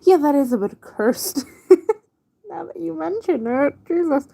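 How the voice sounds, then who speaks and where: A woman with a slightly high, mellow voice talks casually to a small stream. She takes her time, letting thoughts trail off in a laid-back way. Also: lively intonation, slow pace, creaky. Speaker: livestreamer. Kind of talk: casual livestream chat while drawing.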